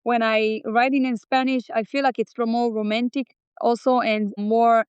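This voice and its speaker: Israeli-born woman, guest singer; very smooth, slightly high voice, dull dry recording. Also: flat delivery, moderate pace, no echo. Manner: earnest, loose non-native English grammar. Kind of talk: casual interview chat. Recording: dull, dry close-mic audio.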